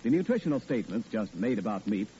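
A man reading a scripted radio commercial with smooth, persuasive announcer delivery. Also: lowish voice, sing-song, quick pace, dark tone. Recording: dull lo-fi vintage radio broadcast with faint hiss.

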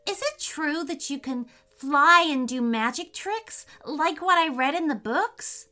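A curious little schoolgirl from a storybook, played by a woman, eager and excitable. Her high voice is bright and bouncy, full of questions. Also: unhurried, faint music behind, full character voice.

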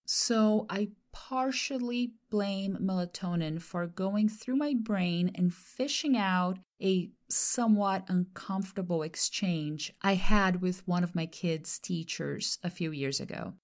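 A woman from Brazil whose first language is Portuguese, hosting a podcast and telling a personal story in a reflective, expressive way. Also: mid-range voice, takes it slowly, hardly any pauses. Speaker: podcast host and translator. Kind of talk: personal monologue with an ad read.

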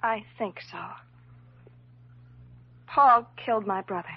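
Husky, worried young woman. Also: fairly high pitch, slow, no echo. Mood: sorrowful. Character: worried, loyal young woman confiding a painful past. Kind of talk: classic radio drama acting. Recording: muffled old radio recording.

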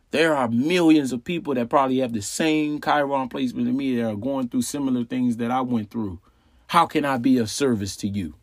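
A man's voice: medium pitch, gravelly, no room sound. Man casually explaining, dry close sound. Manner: conversational, repeats phrases, many rhetorical questions. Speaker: astrology channel host.

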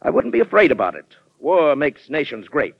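A man's voice: on the high side, huge pitch swings, normal speed, vocal fry, breathy edge, heavily acted. Hearty warlike old statesman, muffled radio. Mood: forceful, urging. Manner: emphatic, oratorical, jovial. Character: hearty, bellicose ex-president colonel, eager for war. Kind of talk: old-time radio drama acting. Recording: muffled vintage radio broadcast recording.

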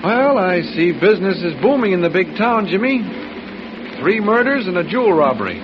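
A mild, inquisitive male newspaper reporter in a 1940s radio drama. His voice sits high and sounds friendly. The recording is muffled, with a loud rumble behind him. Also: sing-song, moderate pace. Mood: genial, curious.

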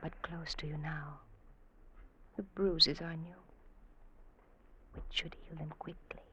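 An actress playing a sly, seductive wife in a classic radio drama, intimate and insinuating, often sinking toward a breathy whisper. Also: female voice, deep voice, flat delivery, slow pace, pushed and bright, dull audio, a little hiss or hum, exaggerated voice. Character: sly, seductive, manipulative wife goading her husband.

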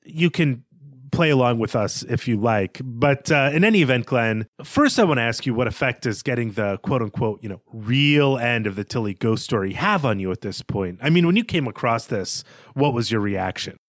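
A man's voice: medium pitch, lively intonation, normal speed, no echo, studio quality. A man co-hosting a podcast, discussing literature in an analytical but informal conversational way. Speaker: podcast co-host.

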